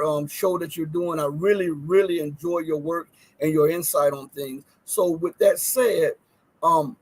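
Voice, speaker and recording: A man's voice: a little high, a little mellow, husky. A man hosting an interview show by video call, introducing his guest and asking questions in an earnest, wordy way. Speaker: podcast host. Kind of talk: interview host.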